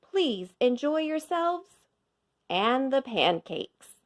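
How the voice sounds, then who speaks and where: A woman's voice: high-pitched, sing-song, slow, voice pushed up, heavily acted. A woman voicing a genial male mayor in a storybook, proclaiming formally and graciously. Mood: gracious, welcoming. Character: genial mayor, a man giving a welcome speech. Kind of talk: storybook read-aloud character voice.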